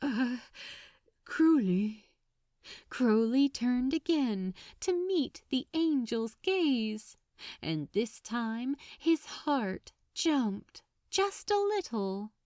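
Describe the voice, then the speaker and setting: A woman reading fiction aloud as an audiobook narrator, in an expressive scripted style. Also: on the high side, extremely slow, no room sound, studio quality.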